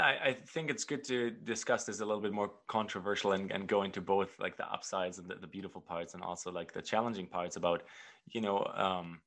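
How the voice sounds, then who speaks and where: A man's voice: low voice, fairly bright tone. A man hosting an interview show, asking reflective questions and repeating words as he thinks. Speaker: podcast host. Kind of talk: conversational interview.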